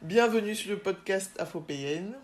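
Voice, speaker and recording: A woman's voice: medium pitch, moderate pace, a little hiss or hum. A woman hosting a podcast, moving between a scripted introduction and casual interview questions.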